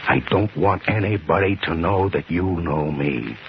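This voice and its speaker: An actor playing a hard, vengeful gangster boss in a radio drama, tough, slangy and domineering. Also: male voice, low voice, a little slow. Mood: scornful, plotting. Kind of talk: 1950s radio drama acting. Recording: dull, noisy vintage 1950s radio recording.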